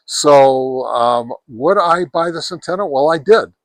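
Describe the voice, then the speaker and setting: A man's voice: a little high, lively intonation, a little slow, no room sound. A man hosting a casual hands-on gear review outdoors, talking in a folksy way and repeating words for emphasis. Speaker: product reviewer and host. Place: outdoors on a deck.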